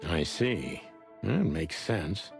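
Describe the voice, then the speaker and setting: Wry, low-voiced bird detective. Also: male voice, sing-song, very slow, gravelly, music in the background, exaggerated voice. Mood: polite, musing. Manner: wry, courteous. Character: polite, wry male bird detective, the partner. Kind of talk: film-noir game voice acting.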